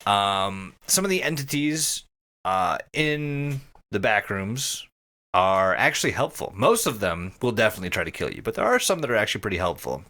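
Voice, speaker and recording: A man talks in a casual, joking podcast style with a bright, forceful edge. His pitch sits a little low but moves around a lot, and he swears easily as he goes. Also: sing-song, moderate pace. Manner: profane, joking. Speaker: podcast co-host. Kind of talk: casual comedic podcast chat.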